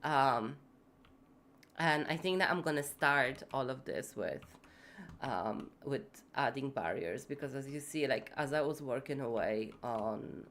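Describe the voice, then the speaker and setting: Sick female streamer, deep and unhurried, stuffy nose, chatty and unfiltered. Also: lively intonation, fairly bright tone. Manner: rambling, many fillers, swears casually. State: sick with fever, congested, voice going. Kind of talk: casual live-stream chat while building.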